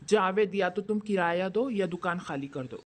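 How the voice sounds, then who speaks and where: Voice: mid-range voice, moderate pace, lightly acted. A performer voicing a male shop landlord in a scripted Hindi moral story. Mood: stern, demanding. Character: callous male shop landlord, later humbled and grateful.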